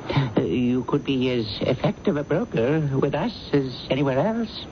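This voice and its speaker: Pompous older boss in old radio play, hems and haws, very up and down, noisy recording. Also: male voice, medium pitch, very animated, muffled recording. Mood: sly, chummy. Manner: pompous, halting, hemming and hawing. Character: stuffy, prurient male investment-house boss. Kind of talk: radio drama acting.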